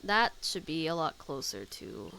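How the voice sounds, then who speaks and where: A woman's voice: deep voice, big pitch swings, measured pace, creaky, bright, forceful tone, faint music behind, rough recording. A woman giving casual commentary as she plays a video game and reacts to its story. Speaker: gamer reacting to a story quest.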